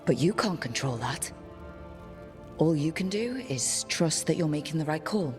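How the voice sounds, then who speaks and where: Low-voiced, supportive adventurer leader. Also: female voice, lively intonation, moderate pace, music in the background. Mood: reassuring. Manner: reassuring, gently probing. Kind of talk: video game cutscene acting.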